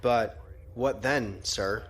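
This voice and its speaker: A man's voice: mid-range voice, extremely slow. Junior lieutenant, played by a man: nervous, questioning male, middle register, space drama. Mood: anxious. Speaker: junior fleet officer. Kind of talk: science fiction audio drama.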